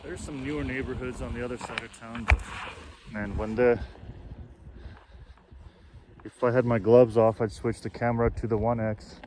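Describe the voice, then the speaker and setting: A man in his forties from Russia with a Russian accent, chatting in a relaxed, meandering way while riding outdoors on snowy roads. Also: medium pitch, moderate pace, long pauses. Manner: relaxed, meandering, self-correcting talk. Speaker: vlogger. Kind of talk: casual rambling chat while riding. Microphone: action camera mic. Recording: loud wind noise outdoors. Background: wind and road noise.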